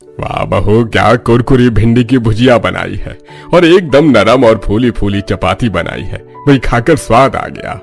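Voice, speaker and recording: A man's voice: on the low side, a little slow, creaky, faint music behind. A man voicing a hearty, food-loving middle-aged father-in-law in a cartoon moral story. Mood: pleased.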